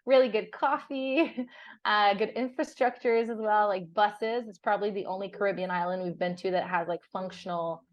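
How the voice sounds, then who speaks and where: A young woman with French as her first language talks casually and cheerfully, laughing as she goes and catching herself mid-word. Her voice sits at an ordinary middle pitch. The sound is dry and close, with almost no room around it. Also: moderate pace, no echo, lo-fi sound. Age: young adult. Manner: chatty, laughs often, restarts words. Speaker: guest. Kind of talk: casual interview chat. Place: on a sailboat.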